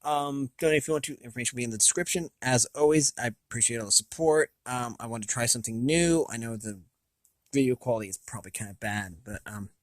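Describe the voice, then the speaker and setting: An American man hosting a casual, unscripted review, thinking aloud in a halting, rambling way with a slightly raspy voice. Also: medium pitch, no echo. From United States. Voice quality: slightly raspy from long talking. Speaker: video host.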